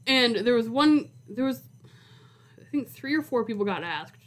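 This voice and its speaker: A woman's voice: fairly high pitch, animated, normal speed. A woman in her twenties co-hosting a podcast in a casual chat, talking informally with lots of "like". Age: 20s. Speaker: podcast co-host.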